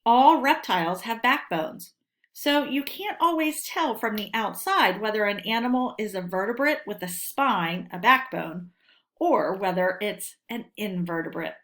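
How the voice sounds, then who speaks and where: A woman teacher reading a lesson aloud for children in a deliberate, instructional way, posing questions and answering them. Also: mid-range voice, expressive, fairly bright tone.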